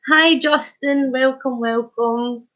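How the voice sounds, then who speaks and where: A woman's voice: high-pitched, fairly even intonation, takes it slowly. Chatty Scottish woman live-streaming with a cold. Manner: chatty, warm greetings, chuckles. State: head cold, sore throat. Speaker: vlogger hosting a live stream. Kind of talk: casual live-stream chat, greeting viewers. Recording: lo-fi dull sound.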